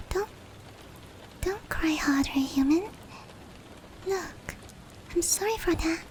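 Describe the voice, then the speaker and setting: A woman voicing a female sleep paralysis demon in a breathy, whispered roleplay ASMR style, with hushed, close, drawn-out phrasing. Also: heavily acted, poor audio. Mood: apologetic, tender. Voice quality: breathy, whispery, high-pitched. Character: female sleep paralysis demon, teasing menace turning tender. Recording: steady rain noise behind voice.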